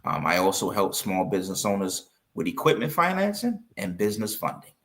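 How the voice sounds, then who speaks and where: Guy in his 50s from new york, low voice, chatting as an interview guest, tells stories. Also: wildly swooping pitch, fairly quick, a bit bright. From Brooklyn, New York. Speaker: interview guest, accountant and business owner. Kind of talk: conversational interview.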